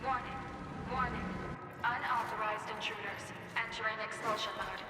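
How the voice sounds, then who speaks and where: A woman's voice: a little high, steady tone, moderate pace, close to an everyday voice. A woman voicing an impersonal automated security system in a video game, issuing formulaic warnings. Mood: impersonal alarm. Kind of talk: video game machine announcement.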